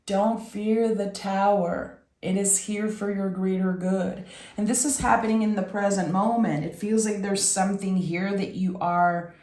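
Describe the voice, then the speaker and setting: Slow, raspy woman reading tarot, roomy sound. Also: medium pitch, darkish tone. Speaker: tarot reader and channel host. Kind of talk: intuitive tarot reading addressed to the listener.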